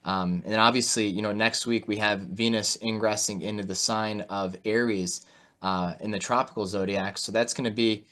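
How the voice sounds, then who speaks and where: A man's voice: fairly low pitch, fairly even intonation, moderate pace, bright, forceful tone. A man hosting an online interview, giving informal, conversational market commentary peppered with "you know". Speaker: host and market analyst. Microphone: video call.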